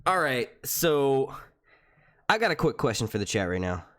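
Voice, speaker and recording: Loud, lively young man hosting a stream. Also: medium pitch, moderate pace. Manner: loud projecting voice, chatty and profane. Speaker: YouTube live stream host. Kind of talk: casual live stream chat. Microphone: desk mic.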